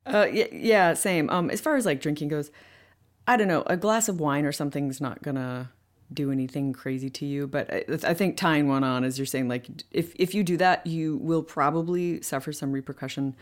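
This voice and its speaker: A woman voice actor telling a story in an interview, animated and loose, with plenty of "like" and "um" fillers. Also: sits low, normal speed. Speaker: voice actor guest. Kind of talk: anecdotal interview chat.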